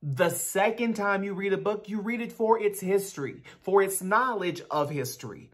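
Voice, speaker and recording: A man's voice: high-pitched, lively intonation, normal speed, fairly bright tone. A man in a dramatic book reading, playing an aloof, bookish genius teenage boy with a precise, pedantic delivery. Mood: instructive. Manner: pedantic, theatrical. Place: small bare room at home. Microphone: video call.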